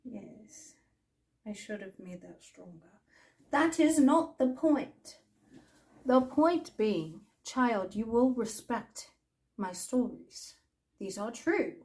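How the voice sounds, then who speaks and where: A woman playing an imperious, mischievous older magical storyteller, telling fantasy tales in a theatrical, haughty way with deliberate pauses. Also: fairly high pitch, animated, a little mellow, rough recording. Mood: indignant, haughty. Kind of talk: in-character fantasy storytelling roleplay.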